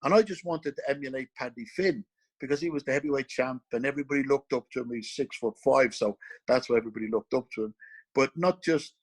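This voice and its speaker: A man's voice: medium pitch, quick pace, slightly rough, rough recording. Earnest Irish man telling his story. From Ireland. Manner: earnest, emphatic, repeats key phrases. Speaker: guest, former boxer. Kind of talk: casual interview.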